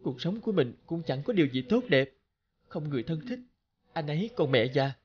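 A man playing a kind, selfless young sworn brother in an animated folk tale, with an earnest, pleading manner. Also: a little high, a little fast, breathy edge, dull audio. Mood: sad, resolute.

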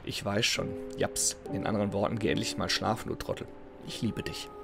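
A man's voice: fairly low pitch, fairly even intonation, a little fast. A man in his thirties with a cold and a slightly nasal voice, chatting casually as he plays a game and reads its letters aloud from his home gaming room. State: has a cold. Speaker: let's play host. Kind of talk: casual gameplay commentary. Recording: voice over clear game music. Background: game music.

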